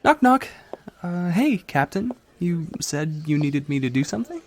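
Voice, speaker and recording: A man's voice: on the high side, big pitch swings, a little slow, noisy background. A man playing a young rookie cop with a sly double side in a noir audio drama. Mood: eager, hesitant. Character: young male rookie cop, seemingly eager, secretly a sly informant.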